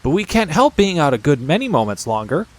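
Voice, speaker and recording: An eager, carefree little girl princess from a storybook, acted out by a male reader. The voice is lifted high and swings up and down with childlike excitement. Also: fairly quick. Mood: carefree curiosity. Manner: exclamatory, eager. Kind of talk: storybook character dialogue.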